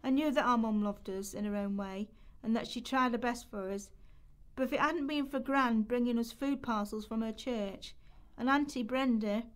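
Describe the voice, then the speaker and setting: A woman's voice: fairly high pitch, normal speed, natural voice. A woman playing a poor, weary young girl from Rochdale who tells her story plainly in an amateur radio play. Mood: matter-of-fact, sad.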